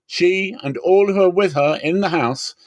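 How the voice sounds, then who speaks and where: A man playing a commanding military leader who rallies his people with loud, solemn declamation in a dramatic scripture reading. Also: sits high, unhurried, no room sound, voice pushed up. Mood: rousing, commanding.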